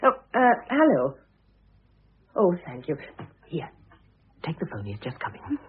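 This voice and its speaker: An actress playing a shrewd, commanding first wife in an old radio drama, coolly insistent and articulate, on a muffled recording. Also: female voice, low voice, huge pitch swings, unhurried, breathy edge, exaggerated voice. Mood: commanding, brisk.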